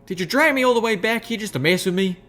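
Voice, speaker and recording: A woman voicing a tough, blunt country mare pony who exclaims loudly with a rural drawl. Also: medium pitch, expressive, quick pace, pitched above the performer's own voice. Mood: exasperated. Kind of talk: audiobook reading of fan fiction.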